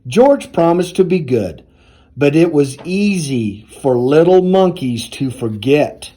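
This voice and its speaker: A man reading a children's storybook aloud in a deliberate, expressive storytelling manner. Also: fairly high pitch. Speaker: university athletics director reading aloud.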